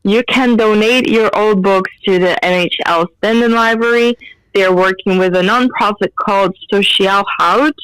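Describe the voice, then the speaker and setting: A young adult woman with a Dutch accent, co-hosting a student radio show in relaxed, slangy casual chat. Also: mid-range voice, moderate pace, clear, resonant tone, no room sound, poor audio. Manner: relaxed, uses slang and fillers.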